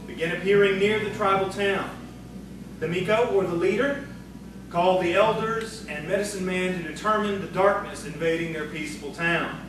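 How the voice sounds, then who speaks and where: A man with an American accent reading scripted narration as a measured, solemn storyteller. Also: sits high. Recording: very echoey, distant, music behind.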